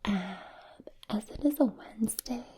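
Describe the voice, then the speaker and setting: A woman voicing a gushing young Valley Girl with an American accent, in a breathy ASMR whisper. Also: a little high, a little slow, mellow. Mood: gushing, soothing.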